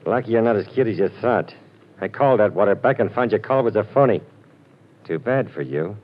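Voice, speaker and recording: Guy doing a low gruff gangster, sneering, old radio sound, muffled. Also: expressive, brisk, a little hiss or hum, close to an everyday voice. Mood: menacing. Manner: tough sneering gangster talk. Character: menacing gangster man, gruff and cocky. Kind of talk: 1940s radio acting.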